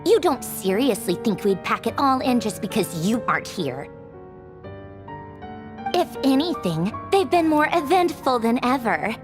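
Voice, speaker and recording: Haughty, sardonic villainess, animated. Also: female voice, a little high, unhurried, music in the background. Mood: sarcastic. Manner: sarcastic, dismissive, theatrical. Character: haughty, sardonic young female villain officer, secretly wistful. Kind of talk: video game voice acting.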